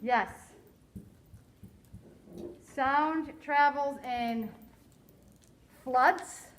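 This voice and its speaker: A woman teaching a science lesson to a class, quizzing her students in a slow, deliberate way. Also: high voice, slight background noise.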